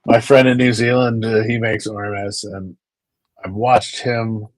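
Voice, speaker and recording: A man's voice: fairly low pitch, little pitch movement, unhurried, no echo, rough recording. A man chatting on a video call, rambling into stories and repeating words as he goes. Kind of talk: casual chat.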